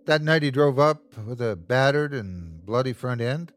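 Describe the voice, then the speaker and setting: A man's voice: medium pitch, lively intonation, natural voice. A man voicing a sadistic, taunting creature in a horror story, savoring every cruel word in a mocking, gloating way. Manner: gloating, drawn-out mocking delivery. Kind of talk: horror audiobook character voice.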